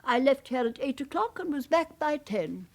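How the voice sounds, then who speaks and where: An elderly, well-bred widow in a radio drama. Her voice is high and moves expressively, polite but anxious, with hesitant, wistful phrasing. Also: sing-song, normal speed, a little mellow. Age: older. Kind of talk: naturalistic British radio drama acting.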